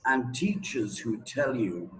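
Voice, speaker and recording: Slow, deliberate man telling anecdotes. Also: medium pitch, animated, a bit bright, poor audio. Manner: deliberate, emphatic, with dramatic pauses and repetition. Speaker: English teacher being interviewed. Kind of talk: anecdotal spoken talk.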